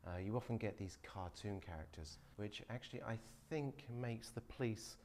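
Low-voiced man exploring an abandoned building. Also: moderate pace, darkish tone, a little hiss or hum. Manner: curious, explanatory, talking while walking. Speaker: urban explorer vlogger. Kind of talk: casual walking tour commentary. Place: abandoned dormitory building, indoors. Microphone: phone camera held at arm's length.

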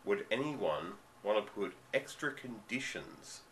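Aussie man, 30s, low voice with a cold, emphatic webcam rant. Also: animated, fairly bright tone, slight background noise, low-quality recording. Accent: Australian. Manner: ranting, emphatic, drawn-out stresses. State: getting over a cold. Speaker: vlogger. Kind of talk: commentary monologue. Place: dim room at home, indoors.

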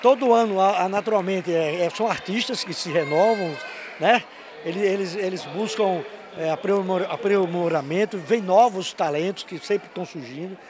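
A middle-aged man interviewed at an indoor fair, talking haltingly with many restarts into a handheld mic. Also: sits high, crowd noise. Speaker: fair organizer.

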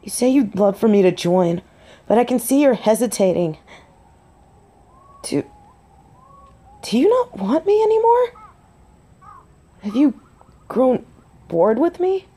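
A woman's voice: medium pitch, warm tone, close to an everyday voice. A woman playing a tender, nervous young biker girlfriend in an intimate roleplay audio drama, speaking hesitantly and breathily. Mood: anxious, pleading. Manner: hesitant, breathy, halting delivery with whispers.

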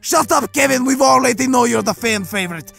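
A man's voice: very high voice, brisk, gravelly, a bit bright, faint music behind, voice pushed up, full character voice. A man voicing an arrogant, irritable dragon king head with a bossy, pompous manner in a cartoon dub. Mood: bossy, proud. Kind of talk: cartoon comic dub voice acting.

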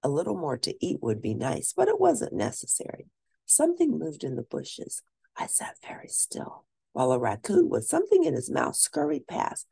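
A woman reading a children's story aloud as an expressive storyteller, lingering deliberately on key words. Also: on the low side, gravelly, slightly rough, dry recording.

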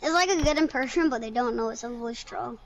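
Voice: mid-range voice, fast talker, creaky, crowd noise, rough recording. A confrontational, swearing gamer trading casual trash talk in game voice chat. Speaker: gamer, host's teammate.

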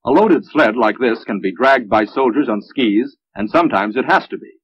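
An American man giving measured, instructional narration in the style of a 1950s military training film, on an old film soundtrack. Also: medium pitch, expressive, husky, no echo, highs cut off.

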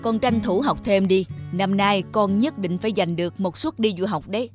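A man's voice: sits high, moderate pace, faint music behind, natural voice. A man playing a proud, pushy middle-aged father in a cartoon, speaking firmly and insistently. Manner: firm, insistent. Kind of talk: cartoon dialogue.

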